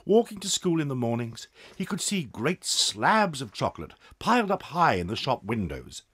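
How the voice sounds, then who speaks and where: A man narrating a dramatised children's story in an expressive storytelling way. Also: mid-range voice, moderate pace, husky, dry recording, studio quality.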